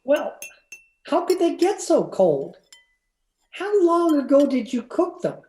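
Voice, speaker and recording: Man acting skeptical sitcom husband, dry and sardonic, unhurried, mellow, old-time radio comedy, echoey room. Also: extremely high pitch, very animated, heavily acted. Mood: puzzled. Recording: roomy, echoing home recording with noise.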